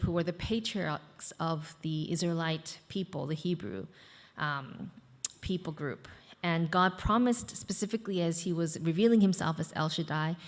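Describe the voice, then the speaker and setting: A woman pastor in her thirties, from the United States, gives a sermon in a church hall with a long reverb. Her voice sits on the low side, and she talks to the congregation in a conversational, chatty way with many fillers. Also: a bit bright.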